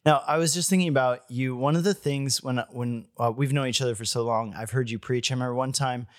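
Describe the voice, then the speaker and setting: A man's voice: medium pitch, steady tone, brisk, no room sound. A man hosting an interview, with an ordinary mid-range voice. He asks questions in a friendly, chatty way, restarting his sentences now and then. The recording is dry and close. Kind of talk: interview conversation.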